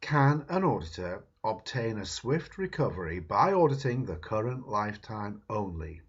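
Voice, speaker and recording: A man reading a book foreword aloud in a slow, emphatic, dramatic way. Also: on the low side, very animated, slightly rough. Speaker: reader. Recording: dull lo-fi recording.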